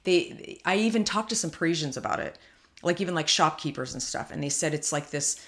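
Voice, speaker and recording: A woman from California with a low voice talks through her thoughts in a relaxed podcast chat. She rambles, circling back with plenty of fillers and half-started sentences. The tone stays conversational and easygoing throughout. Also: brisk. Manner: rambling, thinks aloud with many fillers. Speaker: podcast co-host. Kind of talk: casual chat.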